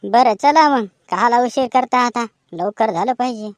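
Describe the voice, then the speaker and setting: Comic cartoon performer: woman, higher voice, rural Maharashtrian accent, colloquial banter, dry recording. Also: moderate pace, no echo. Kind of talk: rustic comic cartoon dialogue.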